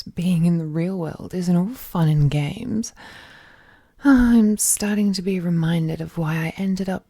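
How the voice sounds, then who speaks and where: A woman playing a ruthless, possessive, all-powerful being in an intimate audio drama, speaking with languid, condescending affection and often dropping into a breathy whisper. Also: on the low side, dark tone, full character voice. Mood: languid, wistful.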